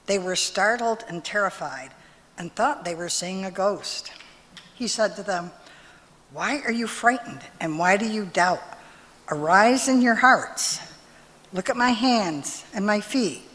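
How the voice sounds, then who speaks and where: A woman's voice: medium pitch, expressive, a bit bright. Older woman reading scripture, long hall reverb. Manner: measured, careful reading. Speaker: scripture reader. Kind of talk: scripture reading aloud in church. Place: large church sanctuary, at a lectern.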